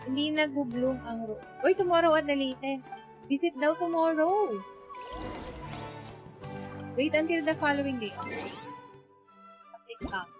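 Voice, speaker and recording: High-pitched Filipino woman streaming a game. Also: a little slow, long pauses, highs cut off, over music. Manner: chatty, switching between Tagalog and English, reacting aloud. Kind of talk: casual live gameplay commentary.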